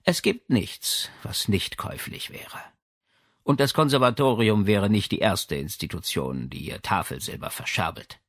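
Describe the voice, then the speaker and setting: A man's voice: fairly low pitch, animated, measured pace, a bit bright, no room sound, studio quality, exaggerated voice. A man voicing a haughty, imperious lady with a condescending, commanding way of speaking in an audiobook. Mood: smug. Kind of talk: audiobook character dialogue.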